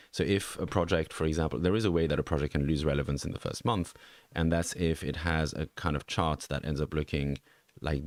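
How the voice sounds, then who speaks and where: A man's voice: a bass voice, a little mellow, dry recording, clean studio sound. A middle-aged man from French-speaking Switzerland with a Swiss French accent, hosting a casual market commentary and thinking aloud with frequent fillers and restarts. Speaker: NFT market analyst host. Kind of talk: casual screen-share commentary.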